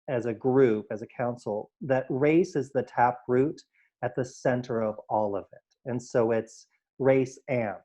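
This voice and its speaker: A man's voice: medium pitch, dark tone, rough recording. A man explaining emphatically at a board meeting over a video call, with many fillers. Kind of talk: spoken remarks at a board meeting.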